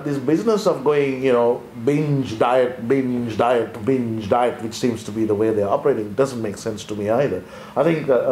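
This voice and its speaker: A man from Sri Lanka talks in a measured, thoughtful way, stopping and restarting his sentences as he goes. His voice sits in a middle range. The room is echoey and there is a faint hum of ambience behind him. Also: mid-range voice, warm tone. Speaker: co-host, former accountant. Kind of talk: conversational leadership discussion.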